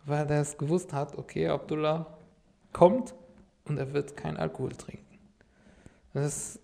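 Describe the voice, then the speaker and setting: Syrian guy, arabic native, chatting as a podcast guest, kinda high voice with a gravelly edge, rambles a lot. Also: steady tone, measured pace, warm tone. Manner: rambling, many fillers and restarts, "sag ich mal" habit. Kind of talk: casual conversational storytelling.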